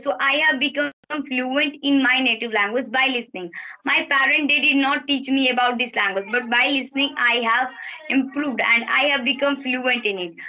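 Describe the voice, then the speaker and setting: Bright high Indian woman advising. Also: lo-fi sound. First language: Bhojpuri. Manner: advising, patient, repeats key points. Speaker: English practice partner. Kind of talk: encouraging casual conversation. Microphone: video call.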